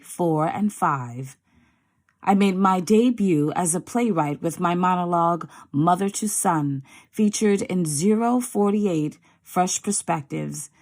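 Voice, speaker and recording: Slow, deliberate American woman poet. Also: fairly low pitch. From New York, United States. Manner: deliberate, theatrical phrasing. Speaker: poet, actress and storyteller. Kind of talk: scripted self-introduction and poetry recital.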